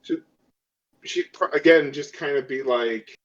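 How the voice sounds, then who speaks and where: A man's voice: medium pitch, unhurried. A man chatting casually as a player in a tabletop role-playing game. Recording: dull lo-fi audio.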